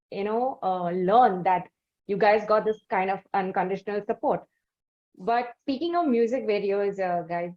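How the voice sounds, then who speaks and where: A woman hosting an interview, talking warmly at length with many fillers and restarts. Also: mid-range voice, dull audio. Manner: effusive, rambling, many fillers. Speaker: podcast host. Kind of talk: conversational interview.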